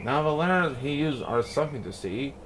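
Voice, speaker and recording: A man reading a rhyming children's story aloud in an expressive, playful, sing-song way. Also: mid-range voice, fairly quick, slight background noise, low-quality recording. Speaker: picture book reader.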